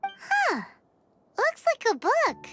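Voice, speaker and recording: A woman voicing a tiny, childlike fairy companion in a video game, squeaky, chirpy, nosy and exclamatory. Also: wildly swooping pitch, very slow, heavy background noise, heavily acted. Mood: curious. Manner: speaks of herself in third person, exclamatory. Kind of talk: video game cartoon-like acting.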